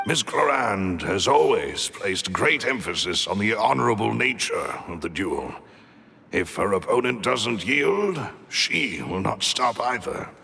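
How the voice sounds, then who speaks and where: A man's voice: on the low side, big pitch swings, a little slow, gravelly, pushed and bright, heavily acted. An actor playing a loyal, earnest male retainer in a video game, speaking formally and deferentially. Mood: grave.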